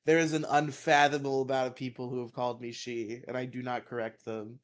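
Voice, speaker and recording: A man with a fairly high voice, co-hosting a podcast in casual chat, laughing often, on a headset mic. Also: little pitch movement, brisk. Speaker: podcast co-host.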